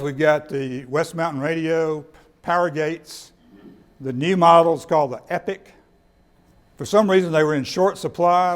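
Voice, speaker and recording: A man with a slightly high voice gives an informal talk to a group. He explains things conversationally, often doubling back on words, as the presenter in a meeting room. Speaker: presenter of radio kits at a club meeting. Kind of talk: informal presentation with audience questions.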